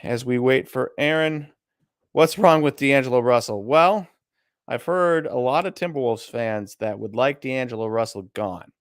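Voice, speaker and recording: A man's voice: medium pitch, lively intonation, normal speed, no room sound, clean studio sound. A man with a Midwestern American accent, co-hosting a casual live stream and thinking aloud as he browses listings. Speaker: co-host of a card auction stream.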